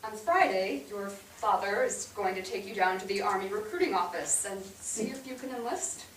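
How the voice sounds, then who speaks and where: A woman on stage playing a weary mother who gently but firmly explains hard news to her sons. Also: medium pitch, normal speed, close to an everyday voice. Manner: gentle but firm, matter-of-fact maternal explaining. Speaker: stage actor. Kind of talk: staged reading of a period family drama. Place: indoor theatre stage, recorded from afar. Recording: roomy theatre, distant mic, hissy background.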